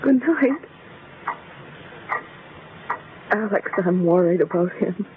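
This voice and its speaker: A woman's voice: on the low side, lively intonation, normal speed. A woman playing an anxious, insistent mother in a radio horror drama, speaking in a worried, pressing way. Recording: narrow tinny radio recording, faint noise.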